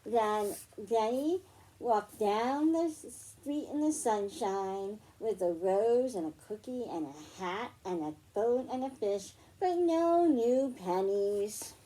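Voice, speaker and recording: A woman's voice: mid-range voice, lively intonation, takes it slowly, dark tone, poor audio. A woman hosting a children's library storytime, reading aloud and explaining things in simple phrases for young listeners.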